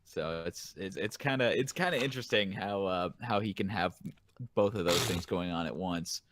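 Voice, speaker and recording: Lower-voiced, agreeable man in casual chat. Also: moderate pace, fairly bright tone, a little hiss or hum. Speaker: podcast co-host.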